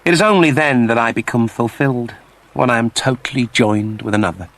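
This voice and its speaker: A man's voice: mid-range voice, a little fast, a bit bright, voice pushed up, close to an everyday voice. A man voicing a submissive but proud shapeshifting alien in a sci-fi story, speaking formally and earnestly. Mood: solemn.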